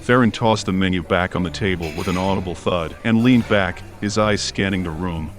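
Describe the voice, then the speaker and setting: A man's voice: low voice, normal speed. A man reading scripted fiction as an audiobook narrator, telling the story in prose. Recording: faint music behind narration. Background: faint music.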